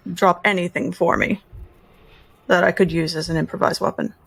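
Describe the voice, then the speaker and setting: A woman's voice: fairly low pitch, steady tone, normal speed, gravelly, fairly bright tone. Woman narrating her tabletop character vividly. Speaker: player.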